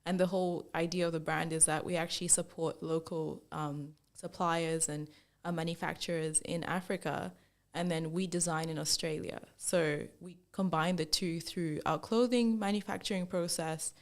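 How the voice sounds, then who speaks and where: Chatty young woman, slightly gravelly. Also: sits low, moderate pace. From Zambia. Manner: animated storyteller, acts out quoted remarks, many "like"s. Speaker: fashion brand founder, guest. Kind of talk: casual interview chat.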